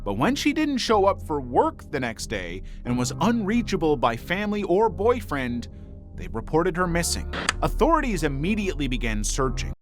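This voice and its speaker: A man's voice: on the high side, huge pitch swings, moderate pace, over music. A man reading scripted true-crime documentary narration as the narrator.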